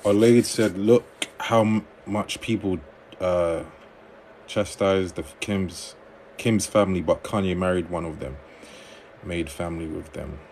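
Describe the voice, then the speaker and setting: A man hosting a livestream on dating advice, reading viewer comments aloud and answering them in a relaxed conversational way. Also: low voice, a little slow, a little hiss or hum.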